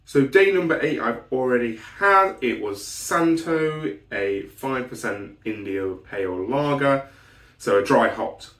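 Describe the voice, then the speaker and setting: Guy rambling about beers, voice still a bit rough from being ill, lots of ums, kinda far from the mic in an echoey room. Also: medium pitch, lively intonation, a little slow, a bit bright. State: recovering voice after illness. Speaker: beer reviewer. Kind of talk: casual solo beer review.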